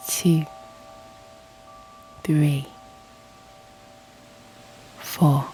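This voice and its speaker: Super slow soft breathy lady doing guided meditation, low mellow voice, almost whispering. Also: rough recording. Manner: soothing, hushed, gently encouraging. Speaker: yoga nidra guide and channel host. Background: soft music.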